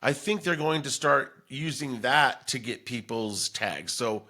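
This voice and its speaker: Bright-voiced American man, podcast host. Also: mid-range voice, lively intonation, measured pace. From Denver, United States. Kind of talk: casual chat.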